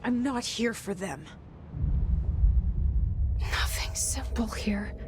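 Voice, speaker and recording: An actress playing a guarded, searching young woman's inner voice in a video game, hushed, breathy and deliberate. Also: fairly high pitch, lively intonation, in a whisper. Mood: wary, searching. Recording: loud music behind. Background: music.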